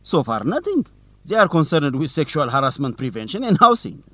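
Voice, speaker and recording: An actor playing a teasing, suspicious young student newsletter reporter in an educational radio drama, with a determined manner. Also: male voice, a little high, big pitch swings, a little fast, sharp tone, no echo, exaggerated voice. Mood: skeptical.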